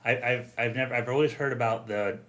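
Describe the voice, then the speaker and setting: An American man interviewing in a casual conversational style, haltingly repeating the starts of his phrases. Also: medium pitch, fairly even intonation, a bit bright. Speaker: interviewer, co-host.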